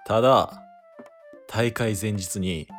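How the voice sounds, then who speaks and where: A man's voice: fairly low pitch, takes it slowly, fairly bright tone, faint music behind. A man with a Kansai accent bantering casually and comically as co-host of an improvised radio drama, readily giggling. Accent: Kansai Japanese. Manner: giggly, breaks into laughter often.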